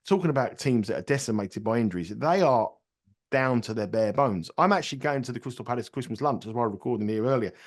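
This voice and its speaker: A man's voice: mid-range voice, expressive, fast. Bunged-up man talking football bets. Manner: rambling, repeats words and restarts sentences. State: congested with a cold. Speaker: betting tipster on a podcast. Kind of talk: casual betting analysis chat.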